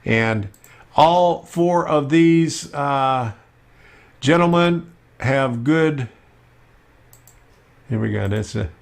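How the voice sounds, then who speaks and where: A man hosting a casual live stream about model railroading, talking slowly with many fillers as he works at his computer. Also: medium pitch, lively intonation, creaky. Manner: slow, unhurried, with many fillers while working on screen.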